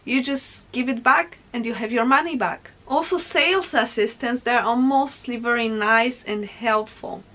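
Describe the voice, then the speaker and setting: Young woman, Polish accent, native Polish speaker, high-pitched voice, casual animated vlog monologue. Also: moderate pace, few pauses. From Poland. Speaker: vlogger.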